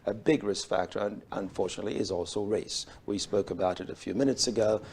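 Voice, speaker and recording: A middle-aged man from Switzerland, a urologist guest on a TV health show, speaking in a measured, formal way with frequent fillers. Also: on the low side, steady tone, a little mellow, a little hiss or hum. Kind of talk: TV health interview. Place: bright TV studio set.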